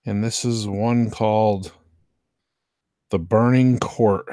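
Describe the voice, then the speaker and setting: A man hosting a radio replay show, introducing episodes in a casual, unhurried, hesitant and informal way. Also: on the low side, fairly even intonation, dark tone, no echo, clean studio sound. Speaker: host of an old-time radio rebroadcast.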